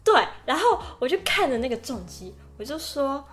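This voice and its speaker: A woman's voice: high-pitched, normal speed, faint music behind. A young adult woman with a Taiwanese Mandarin accent co-hosts a casual podcast, telling stories animatedly and acting out the lines. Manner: animated storytelling, acting out quotes and inner thoughts. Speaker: podcast co-host. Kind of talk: casual podcast chat with personal anecdotes.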